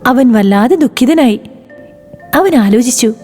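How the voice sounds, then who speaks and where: A woman narrating a children's moral story in an expressive, sing-song way. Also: a little high, unhurried, faint music behind.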